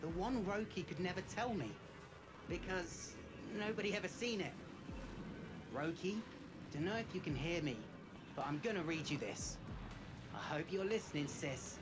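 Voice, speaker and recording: A man playing a scrappy, earnest young street kid in a game cutscene, with slangy, heartfelt speech and rough grammar. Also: sits high, lively intonation, normal speed, pauses often, loud music behind the voice, rough recording. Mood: thrilled, then tender.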